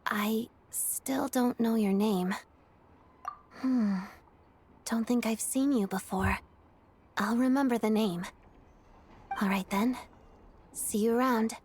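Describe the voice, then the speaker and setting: Courteous, reserved young female soldier, video game. Composed delivery, slightly high voice. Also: takes it slowly, long pauses, darkish tone, a little hiss or hum.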